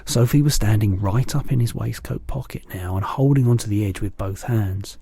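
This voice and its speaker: A man, a teacher, calmly reading a children's storybook aloud. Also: moderate pace, vocal fry, breathy edge. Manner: calm, lowered narrating voice.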